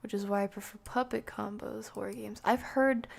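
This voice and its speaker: Soft-spoken young woman streamer, gravelly. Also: mid-range voice, steady tone, moderate pace. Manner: soft, breathy half-whisper, laid-back. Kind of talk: casual let's-play chat.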